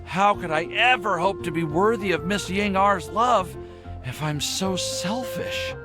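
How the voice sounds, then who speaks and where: An actor playing an earnest, nervous, lovestruck young alchemist in a video game, formal and wordy, with music behind him. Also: male voice, sits high, measured pace. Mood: guilty. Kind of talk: animated video game voice acting. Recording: background music under the voice.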